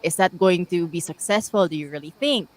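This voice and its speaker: A woman's voice: fairly low pitch, a little fast, a little mellow. A woman in her thirties with a Filipino accent, hosting a radio talk show, chatty and laughing easily, mixing in Tagalog phrases. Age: 30s. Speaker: radio host. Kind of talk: casual radio interview.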